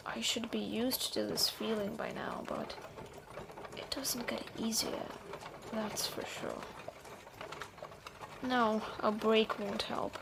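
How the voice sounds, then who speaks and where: A woman playing a guarded, troubled thief in a fantasy audio drama, speaking haltingly and reluctantly softening. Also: a little high, gravelly, background noise, heavily acted. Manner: hesitant, sighing, halting delivery. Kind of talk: scripted roleplay audio drama.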